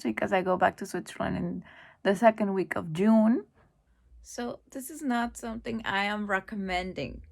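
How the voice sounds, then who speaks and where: A woman's voice: a little high, creaky, dry recording. A woman in her twenties, a native Spanish speaker, chatting informally and with self-deprecation in a casual vlog. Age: 20s. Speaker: vlogger.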